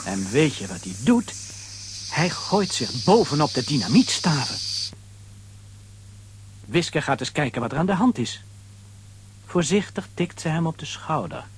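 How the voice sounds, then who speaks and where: A man's voice: fairly high pitch, very animated, unhurried, long pauses, slight background noise. A man narrating a children's audio story in a scripted, dramatic storytelling style.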